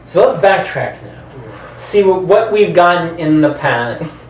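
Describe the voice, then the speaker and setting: A man's voice: a little high, animated, takes it slowly. A man co-hosting a casual movie chat, speaking informally with some mild swearing. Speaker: co-host. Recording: roomy, distant mic, faint background noise.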